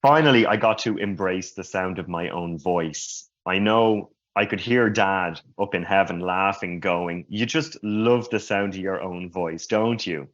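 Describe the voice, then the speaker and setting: Slow, low-voiced man who stutters. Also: fairly bright tone. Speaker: conference speaker. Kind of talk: personal talk. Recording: muffled lo-fi sound.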